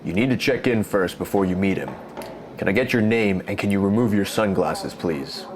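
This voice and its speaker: An actor playing a curt but polite prison desk guard in a crime audio drama, with background noise. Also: male voice, sits low, normal speed, close to an everyday voice. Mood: official, brisk. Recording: clear background ambience noise.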